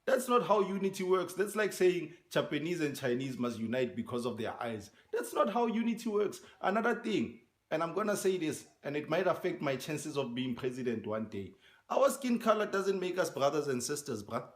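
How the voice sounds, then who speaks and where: Lively, blunt man giving opinions. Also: fairly high pitch, moderate pace. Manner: blunt, sarcastic, addresses "guys". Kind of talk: opinion video.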